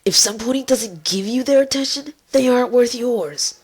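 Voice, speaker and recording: A woman voicing a guarded young female pony in a fan story reading, blunt, deadpan and husky. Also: on the high side, normal speed. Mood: matter-of-fact. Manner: terse, deadpan, matter-of-fact.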